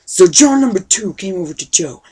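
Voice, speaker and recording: An amateur acts out an old grandpa telling a homemade comic story. The delivery rambles in a folksy way, full of youthful slang. The pitch sits in a middle range. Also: animated, moderate pace, mellow, husky. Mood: rambling storytelling. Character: totally wicked awesome old grandpa telling a tale.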